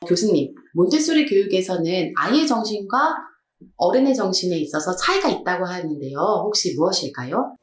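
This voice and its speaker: A woman's voice: on the low side, a little fast, roomy sound, slight background noise. A woman hosting an educational discussion, telling stories in a conversational way. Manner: conversational, animated storytelling. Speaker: host.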